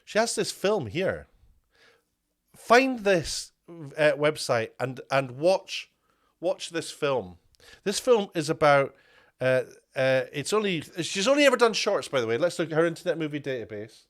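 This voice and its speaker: Man, slightly high pitch, indignant commentary rant, lots of restarts and repeats. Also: sing-song, unhurried, fairly bright tone. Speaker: media commentator. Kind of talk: ranting commentary video.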